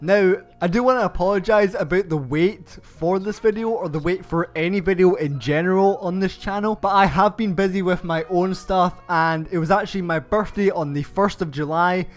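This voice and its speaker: Young male tutorial host, pitched high and congested, walks through steps slowly over soft background music. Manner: slow, methodical step-by-step instructions. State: stuffed-up nasal voice from hay fever. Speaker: channel host. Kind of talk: game build tutorial voice-over.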